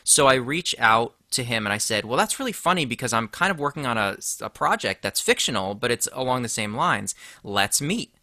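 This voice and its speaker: A man from Connecticut, a screenwriter being interviewed, telling a story in a casual, excitable and informal way. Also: mid-range voice, fairly quick, few pauses, dry recording. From Connecticut, United States.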